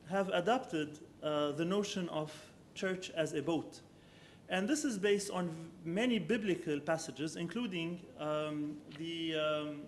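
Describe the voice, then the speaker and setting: Lecturing man with a higher voice. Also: a little hiss or hum. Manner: measured, thinking aloud, with frequent ums and self-corrections. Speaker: architecture professor giving a lecture. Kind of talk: academic lecture with slides.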